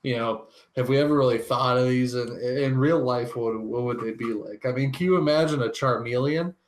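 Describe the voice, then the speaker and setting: A man co-hosting a casual pop-culture podcast, musing informally and haltingly as he works out his thoughts. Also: mid-range voice, slight background noise. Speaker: podcast co-host.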